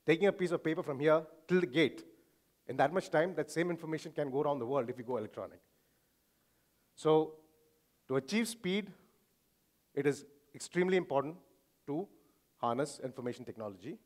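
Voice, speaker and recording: Male conference speaker, Indian accent, slightly high pitch, informal explainer addressing an audience directly. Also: fairly quick, long pauses.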